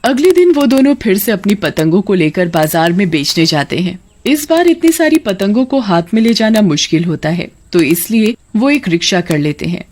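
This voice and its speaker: A woman's voice: mid-range voice, big pitch swings, moderate pace, few pauses, a little mellow, a little hiss or hum. A woman reading scripted narration for a children's moral story as its storyteller.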